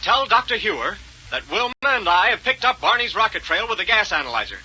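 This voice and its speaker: Space hero, fast and piercingly forceful, 1930s serial style, dull vintage recording. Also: male voice, high-pitched, big pitch swings, slightly rough, heavily acted. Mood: urgent, brisk. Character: brisk, decisive heroic male space captain. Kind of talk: 1930s radio serial acting. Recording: muffled 1930s radio recording, faint noise.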